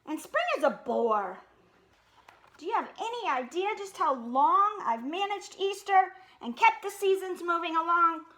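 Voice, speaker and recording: A woman's voice: extremely high pitch, sing-song, measured pace, voice pushed up, full character voice. Woman putting on grumpy, indignant old boss bunny. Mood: irritated, indignant. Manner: gruff, loud, indignant. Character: grumpy old retired boss rabbit, male, weary of duty.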